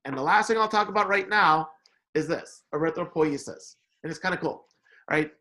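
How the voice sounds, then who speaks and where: A man's voice: a little high, normal speed, pushed and bright. A man explains material in an informal lecture, often checking in with "right?" and repeating key phrases. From Indonesia, moved to America at eight. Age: 40s. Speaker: lecturer, science instructor.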